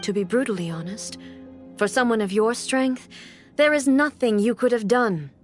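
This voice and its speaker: A woman voicing a commanding female ruler from a video game, with a fairly high voice. She speaks formally and with cold, haughty measure, stern and absolute, over background music. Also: normal speed, lightly acted. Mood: blunt, stern. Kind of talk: video game character acting.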